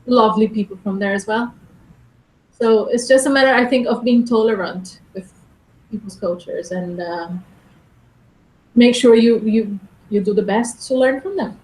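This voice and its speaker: A woman's voice: fairly high pitch, normal speed, a little mellow. A woman from Brazil, speaking English in a casual conversation as an interviewee, thinking aloud with restarts and repeated words. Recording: echoey room.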